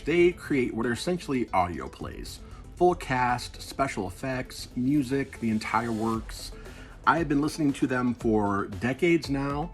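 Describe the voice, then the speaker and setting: A middle-aged man giving an enthusiastic, conversational, casual review. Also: mid-range voice.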